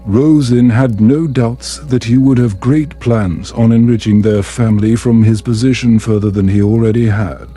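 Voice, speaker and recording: A man narrating a bedtime story in a calm, soothing, measured manner. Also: medium pitch.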